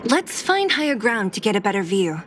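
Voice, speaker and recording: A woman's voice: mid-range voice, big pitch swings, moderate pace, fairly bright tone, a little hiss or hum. An actress playing a brash, streetwise young woman fighter in a video game, teasing and blunt. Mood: reflective, brisk. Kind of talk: video game cutscene voice acting.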